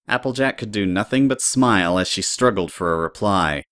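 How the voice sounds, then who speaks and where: A man's voice: sits low, expressive, moderate pace, fairly bright tone, no echo, clean studio sound. A man reading scripted story narration as the narrator of an audio drama.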